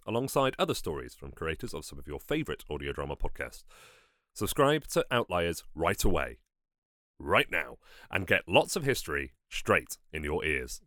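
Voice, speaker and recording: Deep, animated male podcast host. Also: no room sound, studio quality. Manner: enthusiastic, conversational. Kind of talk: informal podcast announcement.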